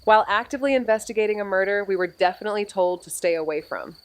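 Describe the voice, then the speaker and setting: An impulsive young woman amateur detective in a noir audio drama, voiced by an actress. Her voice sits at a middle pitch, and she talks casually, with slang and a wry, irreverent edge. The acting is natural and conversational. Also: fast talker, no room sound, lightly acted.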